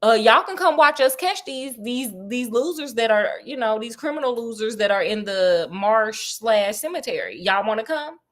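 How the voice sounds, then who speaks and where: A woman's voice: fairly high pitch, lively intonation, normal speed, few pauses, fairly bright tone. Woman as easygoing soldier men, chummy drawl. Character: swaggering casual male soldiers.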